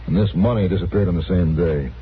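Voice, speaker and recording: A courteous American sheriff with quiet authority , played by a man and a deep voice. His speech is formal and deliberate, in old radio western style, heard through a thin, clearly noisy vintage recording. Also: phone-quality sound, close to an everyday voice. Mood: regretful.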